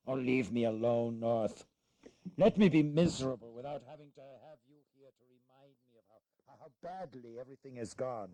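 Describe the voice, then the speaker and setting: A man's voice: mid-range voice, warm tone, natural voice, rough recording. A man playing a petulant, self-pitying English king in a history audio drama, imperious and melodramatic.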